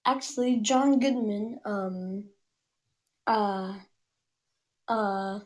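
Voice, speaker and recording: A woman puppeteer chatting casually as a guest, in a halting, hesitant way. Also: medium pitch. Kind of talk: casual chat.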